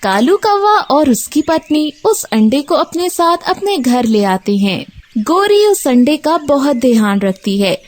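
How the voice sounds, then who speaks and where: A woman's voice: high voice, very animated, a little slow. A woman narrating a scripted children's story.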